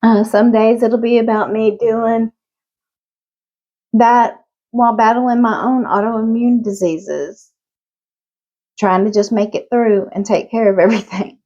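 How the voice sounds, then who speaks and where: A woman's voice: on the high side, fairly even intonation, unhurried, long pauses, dark tone, lo-fi sound. A woman in her fifties with a Southern US accent, chatting frankly to camera and laughing between thoughts. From Southern United States. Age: 50s. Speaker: vlogger. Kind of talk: casual talk to camera.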